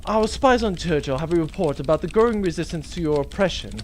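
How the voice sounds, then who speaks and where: A man's voice: fairly high pitch, animated, fast talker, slight background noise, natural voice. A man playing a weary, obedient henchman in a comedic radio play, speaking with a deferential, hesitant, exasperated manner.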